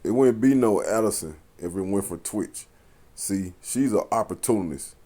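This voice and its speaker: A man's voice: lowish voice, lively intonation, normal speed, mellow. A man giving casual reaction commentary in a blunt, slangy, accusatory manner.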